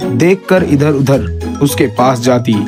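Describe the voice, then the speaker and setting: Man, narrator, children's animal storytelling, middle pitch, light background music. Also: slow.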